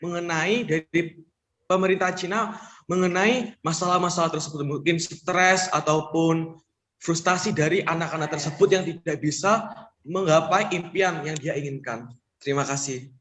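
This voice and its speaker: A man's voice: on the high side, moderate pace, fairly bright tone. A young man, a student, asking a question in a webinar, hesitant with restarts.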